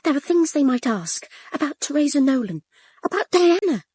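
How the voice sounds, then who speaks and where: A woman's voice: high-pitched, very animated, moderate pace, darkish tone, no echo. An actress playing an anxious woman, speaking urgently in a radio drama. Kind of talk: British radio drama dialogue.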